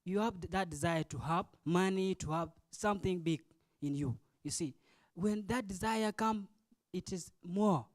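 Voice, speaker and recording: A man's voice: high voice, moderate pace, no echo. A man in his twenties with a South Sudanese accent, speaking off the cuff as a student leader, explaining in short phrases and questioning his listeners. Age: 20s. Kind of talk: impromptu forum speech.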